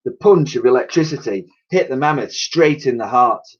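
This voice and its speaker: A man's voice: medium pitch, animated, normal speed, breathy edge, lo-fi sound. A man reading a children's story aloud like a teacher, with theatrical, suspenseful narration and emphatic sound effects spoken by mouth.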